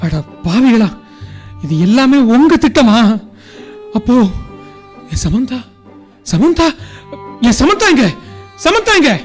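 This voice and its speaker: Anguished, lovelorn young son, high-pitched radio melodrama over light music. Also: male voice, big pitch swings, slow, pauses often, full character voice. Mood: indignant. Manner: melodramatic, pleading, repeating words. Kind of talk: radio drama acting.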